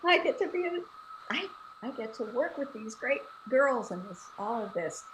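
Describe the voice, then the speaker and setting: An older woman, a guest actress, chatting in an interview over a video call, telling lively stories and laughing often. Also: on the high side, normal speed, noisy background. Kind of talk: casual interview chat.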